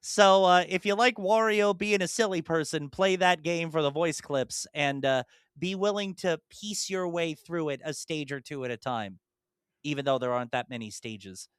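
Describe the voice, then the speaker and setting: A man's voice: fairly high pitch, moderate pace, a bit bright, clear, resonant tone, no room sound, clean studio sound. A young man and podcast co-host chatting casually about video games, rambling through detailed explanations with many ums and restarts.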